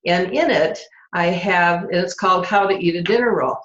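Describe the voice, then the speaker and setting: A woman's voice: fairly low pitch, normal speed, a bit bright. A woman leading a club segment on an online call, cheerful, reading out quotes and laughing easily. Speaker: table topics master. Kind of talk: hosting a club meeting segment. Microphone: online video call. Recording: echoey, dull call audio.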